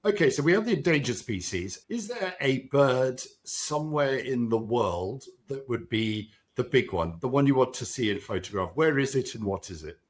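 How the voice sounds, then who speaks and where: A man's voice: mid-range voice, lively intonation, normal speed, few pauses. Friendly male podcast host. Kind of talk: casual interview.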